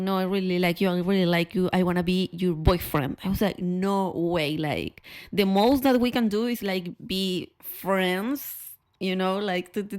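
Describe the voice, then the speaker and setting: A woman with a Chilean Spanish accent speaks at a medium pitch. She shares personal stories candidly and expressively, like a podcast host talking straight to her viewers. She often repeats words to drive a point home. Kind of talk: personal storytelling to camera.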